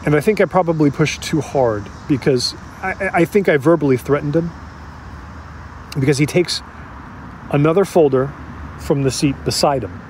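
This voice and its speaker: An actor playing an agitated man who recounts a tense encounter in a halting, emphatic, conversational audio drama monologue. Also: fairly high pitch, a little mellow, a little hiss or hum, close to an everyday voice. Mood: uneasy, intent.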